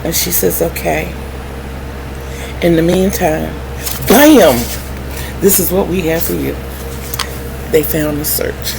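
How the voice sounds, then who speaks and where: Middle-aged woman, unhurried delivery, lowish pitch, candid vlog storytelling, wry and animated. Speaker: vlogger. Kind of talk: candid personal storytelling to viewers. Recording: clearly noisy background hum.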